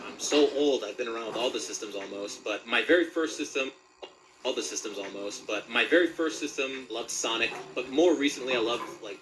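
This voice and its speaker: A man's voice: mid-range voice, brisk. Casual man, distant mic, very noisy recording. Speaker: voice actor, interview guest. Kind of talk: casual interview chat. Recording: distant mic, loud noise and clicks. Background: loud noise.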